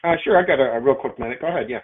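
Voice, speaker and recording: A man's voice: mid-range voice, racing. Casual, hesitant man on a phone line. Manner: hesitant, thinking aloud, easygoing. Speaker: property owner, caller. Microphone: phone call. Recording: narrow, tinny phone line.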